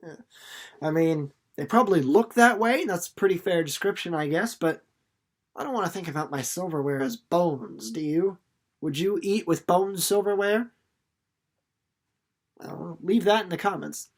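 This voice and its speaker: Young man, higher male voice, storybook read-aloud, casual commentary, chatty, musing aloud. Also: moderate pace. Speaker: chapter reader and commentator.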